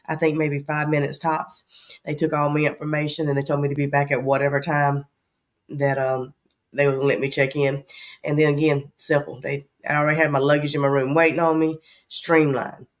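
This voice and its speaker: A woman's voice: low-pitched, flat delivery, normal speed. A woman with a Southern accent hosting a travel vlog in casual chat, her voice scratchy from allergies and her talk rambling with frequent ums. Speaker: travel vlogger host.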